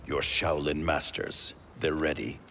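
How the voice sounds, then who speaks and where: An actor playing a grim, distrustful ninja warrior in a video game, terse and commanding with a gravelly voice. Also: male voice, level tone, a little slow, noisy background. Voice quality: deep, gravelly. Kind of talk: video game cutscene acting.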